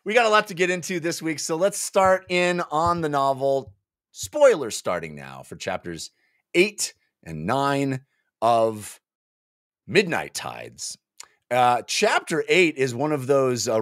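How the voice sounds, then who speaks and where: A man in his forties hosting a book club, chatting casually and enthusiastically, with halting repeated words, on a close studio mic. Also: medium pitch, no room sound. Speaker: book club podcast host. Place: small home room, indoors. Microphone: close studio mic on boom arm.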